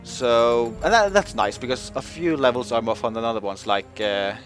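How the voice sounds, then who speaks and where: A man's voice: mid-range voice, moderate pace, faint music behind. A man giving casual commentary over game footage, talking in a chatty way with lots of fillers and voice jumps when joking. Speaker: gaming video host.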